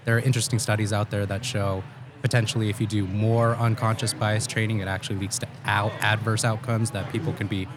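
A man in a podcast interview, a guest who leads talent acquisition, explaining his views in a measured, earnest way. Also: lowish voice, level tone, music in the background. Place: live at a trade event booth.

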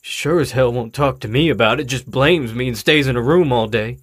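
Man playing a weary husky-voiced father, dry recording. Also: medium pitch, dry recording, lightly acted. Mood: dejected, frustrated. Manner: halting, swearing, downcast. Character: weary, troubled, frustrated widowed father of a teenager. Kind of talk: naturalistic audio drama acting.

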